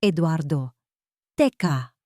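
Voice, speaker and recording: A woman's voice: deep voice, expressive, slow pace, darkish tone, no room sound, clean studio sound. A woman voicing a scheming, coaxing, anxious wife in an audio story. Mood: panicky. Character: scheming, falsely sweet wife of a millionaire.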